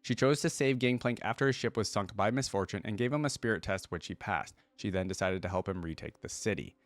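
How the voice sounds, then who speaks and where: Male reaction host, lowish voice, dry close sound, conversational read-and-comment delivery that thinks out loud. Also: brisk, dry recording. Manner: conversational, thinking aloud, musing. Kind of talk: casual reaction commentary, reading aloud and commenting.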